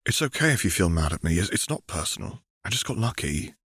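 A man reading aloud as an earnest, modest young apprentice, a touch lighter than his own low voice. He sounds sincere and gently apologetic, recorded close and dry. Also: big pitch swings, fast, breathy edge, no room sound, exaggerated voice. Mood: sympathetic. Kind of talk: audiobook fan fiction reading.

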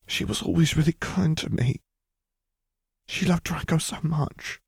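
A sharp-tongued young witch from a fantasy audiobook , voiced by a man. The voice is pushed well up in pitch, urgent and emphatic, with a fearful edge. The recording is close and dry. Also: expressive, brisk, dry recording. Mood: fearful, earnest.

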